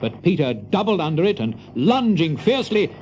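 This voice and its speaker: A man's voice: on the high side, big pitch swings, moderate pace, darkish tone, slight background noise. A man narrating a children's adventure story in a dramatic, scripted storybook style. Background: music.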